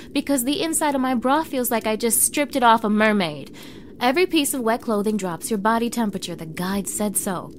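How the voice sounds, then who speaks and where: Woman as bossy young mean girl for audio roleplay; higher voice, sarcastic, exasperated, loud ambience behind. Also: slight background noise, lightly acted. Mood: exasperated, flustered. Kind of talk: acted audio roleplay drama, addressed to the listener.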